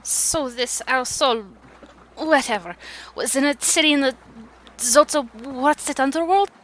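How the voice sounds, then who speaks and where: Bright, high-pitched, blunt privateer woman, acted out. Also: animated, a little slow, a little hiss or hum, poor audio. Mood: puzzled. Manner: blunt, colloquial, puzzled questioning. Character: blunt, irreverent hard-drinking female privateer. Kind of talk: fantasy audio drama acting.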